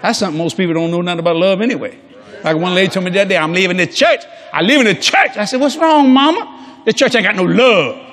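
A man's voice: sits high, fast, a bit bright. An older man preaching a sermon on a large church stage before a congregation, folksy and jokey, acting out quoted people in different voices.

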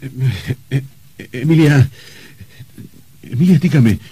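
An actor playing an anxious, excitable murdered writer in a radio drama, hesitant and stammering. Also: male voice, medium pitch, takes it slowly, slightly rough, exaggerated voice. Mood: groggy, confused. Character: anxious, protesting murdered male novelist. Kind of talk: old-fashioned radio drama acting.

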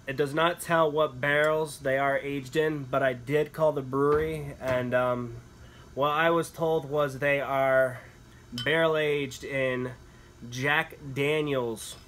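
A young man casually reviewing beer at home, talking through each sip with relaxed, enthusiastic comments and frequent fillers, filmed in a small wood-paneled room. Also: medium pitch, slow pace, slight background noise.

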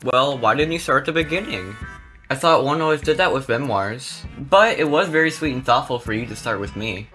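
A man voicing a gentle, soothing troll mother in a cartoon fandub. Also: mid-range voice, lively intonation, a little fast, soft background music. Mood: caring.

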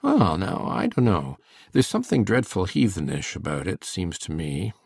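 A male actor as a shy older farmer in a storybook drama. His voice sits extremely low and rasps with husky gravel. He talks in a plain, folksy, hesitant way, recorded close and dry. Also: wildly swooping pitch, moderate pace, no echo, heavily acted. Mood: mildly disapproving. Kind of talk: dramatic audiobook reading.

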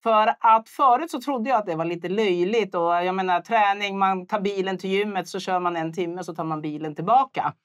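A middle-aged woman with a Swedish accent, chatting lively and laughing easily as a guest at a table in a dark studio, on a lapel mic. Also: mid-range voice, few pauses. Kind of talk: casual chat.

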